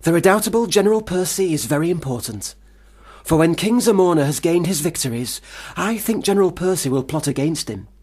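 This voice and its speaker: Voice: mid-range voice, expressive, brisk, a little mellow, breathy edge. An actor in a radio play playing a bossy, imaginative young boy who speaks in a pompous, pedantic, declaiming way. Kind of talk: radio drama acting.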